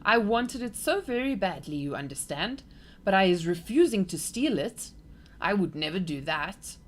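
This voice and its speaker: A woman voicing a huge kindly old male giant who mangles his grammar, speaking louder than her narration. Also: mid-range voice, sing-song, normal speed, voice pushed up. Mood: gentle. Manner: gentle, mangled grammar, loud.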